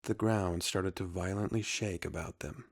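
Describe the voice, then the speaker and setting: A man's voice: low-pitched, fairly even intonation, moderate pace, husky. A man narrating a scripted adventure story.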